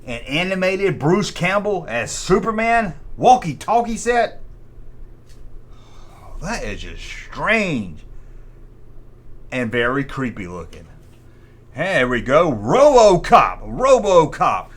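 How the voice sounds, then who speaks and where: Animated, sarcastic American man, higher-pitched. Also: slow, long pauses. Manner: mocking, sarcastic, folksy. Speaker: host of a toy review channel. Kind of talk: casual humorous commentary.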